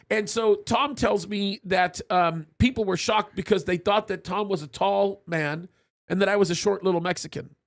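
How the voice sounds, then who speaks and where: A man hosting a live stream, chatting casually and reading out viewer messages, animated and quick to laugh, often restarting his sentences. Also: sits high. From Egypt. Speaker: host of a live stream show.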